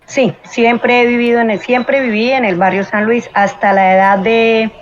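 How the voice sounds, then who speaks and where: A woman's voice: fairly high pitch, little pitch movement, moderate pace, dull audio. A woman in her forties from Venezuela, speaking as an interview guest in a hesitant, thoughtful way with many fillers. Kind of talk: personal interview.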